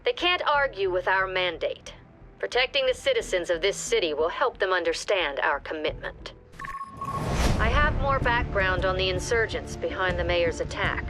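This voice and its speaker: A woman playing a calm squad commander. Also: medium pitch, moderate pace, noisy background, close to an everyday voice. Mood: briefing. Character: composed female squad commander giving orders. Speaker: mission briefing officer. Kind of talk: video game voice acting.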